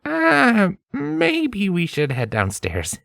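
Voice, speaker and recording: A gentle, optimistic stallion scholar, played by a man, talks in a lifted, lively voice. He is casual and caring, reassuring with a little hesitancy, like a character in a dramatized storybook. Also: sits high, very slow, vocal fry, no room sound, studio quality, pitched above the performer's own voice, exaggerated voice. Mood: sheepish.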